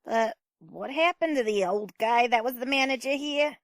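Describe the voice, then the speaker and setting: A woman's voice: on the high side, normal speed, dry recording, clean studio sound, close to an everyday voice. A woman playing a nosy, teasing older neighbour in an amateur audio drama, casual and insinuating.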